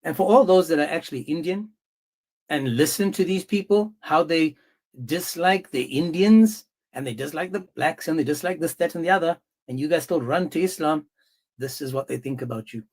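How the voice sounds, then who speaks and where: A male live-stream host with a slightly high-pitched voice. He taunts and badgers his guests, cutting in over them in a confrontational way. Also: normal speed, a little mellow. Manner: mocking, confrontational, talks over others. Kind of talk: heated live-stream debate.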